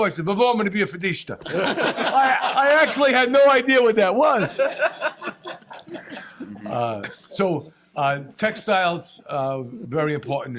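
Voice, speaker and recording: Animated storytelling man. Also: fairly high pitch. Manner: animated storyteller, laughs at own jokes. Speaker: state representative. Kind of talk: panel remarks with anecdotes.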